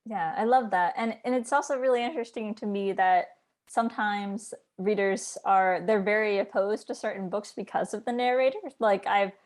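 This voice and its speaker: A woman with a medium-pitched voice hosts a casual interview. She is chatty and a little rambling, slipping quoted asides into her explanations. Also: steady tone, normal speed. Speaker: interviewer, book editor.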